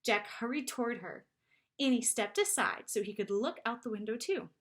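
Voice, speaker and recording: A woman narrating a children's chapter book aloud in an expressive storytelling style. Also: fairly high pitch, normal speed. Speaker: storybook reader.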